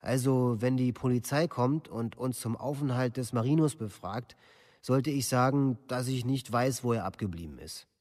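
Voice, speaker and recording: An actor playing a young killer reciting a formal, matter-of-fact confession in a documentary radio play. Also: male voice, mid-range voice, normal speed, a little mellow, dry recording, clean studio sound, lightly acted. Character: young male killer giving a factual confession.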